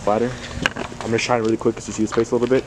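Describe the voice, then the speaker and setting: A man's voice: medium pitch, level tone, rapid-fire, noisy background. A young man chatting casually to the camera while exploring, slangy, profane and a little bossy. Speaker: vlogger. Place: abandoned building and outdoors at night.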